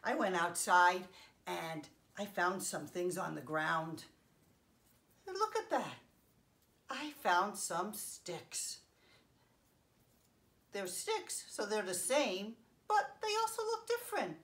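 Woman in her fifties, children's read-aloud, unhurried pace, lively sing-song intonation, simple explanatory asides, recorded in a living room. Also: mid-range voice. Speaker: children's story time host. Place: home living room, indoors.

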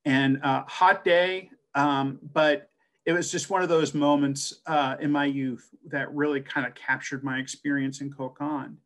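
A man's voice: fairly high pitch, fairly even intonation, measured pace, a bit bright. Earnest middle-aged man on a video call. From American West. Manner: earnest, storytelling, with frequent uh fillers and restarts. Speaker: translator and scholar giving a talk. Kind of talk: personal presentation on a video call. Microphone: webcam video call.

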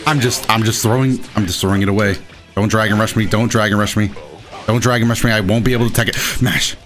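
A man hosting a gaming video with casual, excited, exclamatory and self-deprecating play-by-play commentary. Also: fairly low pitch, fast. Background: game music behind the voice.

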